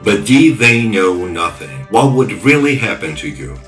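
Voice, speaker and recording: A man playing a polite, probing cyberpunk investigator in audio drama dialogue, with measured questions. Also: on the low side, big pitch swings, fairly bright tone, distant mic, faint music behind, exaggerated voice.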